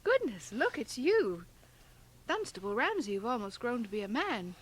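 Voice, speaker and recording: High-voiced, artless, chatty village wife. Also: female voice, sing-song, normal speed, breathy edge, rough recording. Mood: bright, kindly. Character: gentle, generous, scatterbrained village wife, simple and childlike. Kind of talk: radio drama acting. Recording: hissy home cassette transfer of radio drama.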